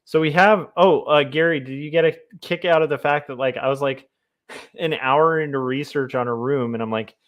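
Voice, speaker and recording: A man with a loud voice chatting casually to viewers on a livestream while he draws. He rambles, with lots of fillers, and talks into a mic placed close to him. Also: on the high side, moderate pace, muffled recording. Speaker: comic artist streamer. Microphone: desk mic close to the mouth.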